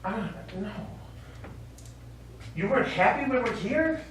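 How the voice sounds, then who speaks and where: An actor as an aging American veteran, echoey stage. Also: male voice, very high voice, animated, takes it slowly, fairly bright tone, heavily acted. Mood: defensive, stunned. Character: aging American male war veteran, apologetic, defensive. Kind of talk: stage play acting. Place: small theatre studio, recorded from afar. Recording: echoey, distant stage recording with hiss.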